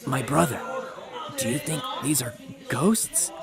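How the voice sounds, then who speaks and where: Man acting Brock, hammy earnest boy adventurer, pitched up. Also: huge pitch swings, takes it slowly, creaky, over loud music, full character voice. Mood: hushed, conspiratorial. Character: Brock, earnest proud teenage older brother, adventurer.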